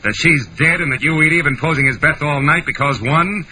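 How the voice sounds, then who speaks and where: An actor playing a hard-boiled, world-weary American private detective in a vintage radio drama, speaking in terse, dry, slangy lines. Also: male voice, a little high, a little fast, sharp tone, slight background noise, rough recording. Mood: accusing, pressing. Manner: terse, dry, slangy first-person storytelling. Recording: old radio broadcast with music.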